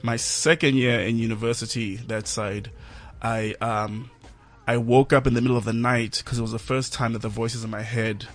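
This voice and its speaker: A man with a South African accent, a Zulu speaker, answering interview questions in a measured, reflective way. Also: medium pitch, little pitch movement. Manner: measured, reflective, softening toward the end of phrases. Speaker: film director, studio guest. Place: radio studio.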